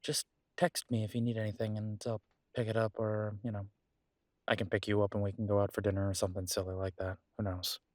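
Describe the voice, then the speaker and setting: Low-voiced, easygoing werewolf pack leader played by a man. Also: little pitch movement, fast talker, a little mellow, no echo, clean studio sound, lightly acted. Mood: tender, relaxed. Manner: casual, conversational, hesitant trailing phrases. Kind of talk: audio roleplay drama.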